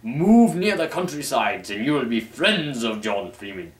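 A man's voice: medium pitch, sing-song, unhurried. A man playing a bombastic, vengeful young action hero with declamatory, melodramatic delivery in a comic reading. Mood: defiant. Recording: roomy, distant camera microphone.

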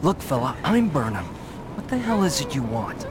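A man's voice: medium pitch, sing-song, moderate pace, lightly acted. A man playing an agitated, exasperated jailed store manager in a video game. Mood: wary. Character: jumpy, indignant male jailed store manager. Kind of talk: game cutscene acting. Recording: loud ambient noise behind.

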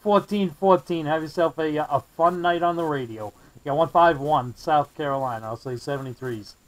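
A man's voice: a little high, normal speed, poor audio. An American man working as a CB radio operator, trading call signs and friendly, courteous sign-offs. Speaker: CB radio operator, video maker. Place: indoor radio desk.

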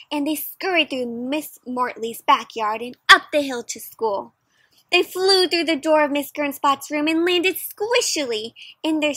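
A young woman doing an expressive, interactive picture-book read-aloud for children, putting questions to the listener. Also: high voice, dry recording. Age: young adult. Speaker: children's story reader. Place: outdoors in a backyard with play structure.

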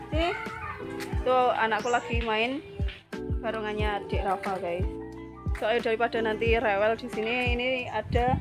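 A woman in her thirties with a Javanese accent, chatting to viewers while walking through a village with a phone in hand. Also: a little high. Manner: chatty, addresses viewers as guys often. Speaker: vlogger. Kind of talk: casual walking vlog. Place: outdoors in a village lane. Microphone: handheld phone at arm's length. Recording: background music.

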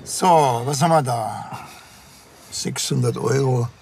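An actor playing a dry, stubborn small-town police inspector with a Bavarian accent and a curt, offhand way of talking. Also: male voice, mid-range voice, slow pace, creaky, a little mellow, a little hiss or hum. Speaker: lead actor. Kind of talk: audio version of a TV crime comedy, natural dialogue.

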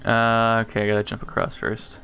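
A man chatting casually as a co-op gaming partner, easygoing and quick to laugh. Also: on the low side, completely flat delivery, pushed and bright, clean tone, faint music behind. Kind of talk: casual gameplay chat.

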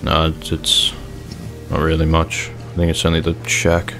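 A resigned, doubtful survivor in a zombie game roleplay, played by a man. His voice is deep and fairly flat, hesitant and low-key. There is faint music behind him. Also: moderate pace. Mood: low-key, agreeable. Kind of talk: improvised game roleplay skit.

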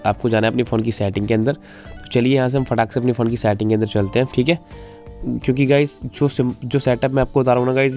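A man's voice: mid-range voice, a little mellow. A man presenting a casual tech tutorial, informally addressing viewers in a repetitive, pleading way. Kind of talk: casual screen-recorded phone tutorial. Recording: music playing behind the voice. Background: instrumental background music.

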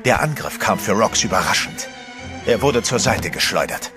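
First-person horror narrator, man, tense delivery, low voice, music underneath. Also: animated, moderate pace.

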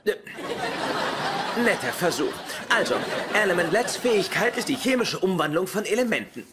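A pedantic male genius played by an actor, smug and precise, lecturing as in a sitcom audio play. His voice swings lively up and down, with noticeable noise behind it. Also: high voice, normal speed, over a noisy crowd. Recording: noisy, with clear background ambience.

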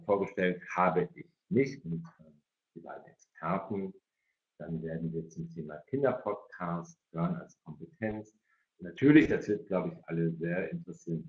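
A middle-aged man moderating an online event over a video call. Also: low-pitched. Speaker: host and moderator. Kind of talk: welcoming and moderating an online parents' evening. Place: indoor office on a video call. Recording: echoey room, dull video-call sound.

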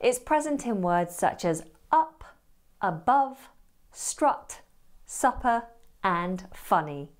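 A woman presents a scripted pronunciation lesson in a crisp, medium-pitched voice. She speaks extremely slowly and enunciates like an instructor. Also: big pitch swings. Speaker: pronunciation teacher.

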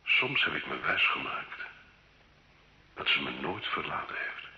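Haunted condemned nobleman in an old radio play, piercing forceful voice, really slow, brooding. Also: rumbling low, big pitch swings, exaggerated voice. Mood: wistful, brooding. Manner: solemn, brooding, dramatic. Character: condemned nobleman, former soldier, haunted, proud, anguished sinner. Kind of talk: slow theatrical radio drama acting. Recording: dull old radio recording, roomy echo.

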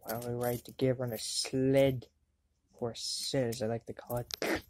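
A woman's voice: very deep voice, flat delivery, normal speed, a little mellow, no echo. A woman voicing a chatty young student wizard in an amateur anime fandub, with a wry, exclamatory manner. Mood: casual, wry. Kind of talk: anime fandub character acting.

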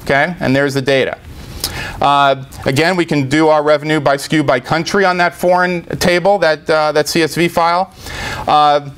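A middle-aged man giving a live software demo in an informal, step-by-step explanatory way. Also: fairly high pitch, steady tone, normal speed, smooth. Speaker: tech presenter. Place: indoor meetup presentation room.

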